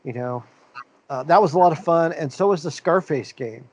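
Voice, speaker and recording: A man's voice: fairly high pitch, moderate pace, muffled recording, a crowd in the background. Rambling middle-aged man, casual podcast chat. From American Midwest. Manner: rambling, many restarts and fillers. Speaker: podcast co-host.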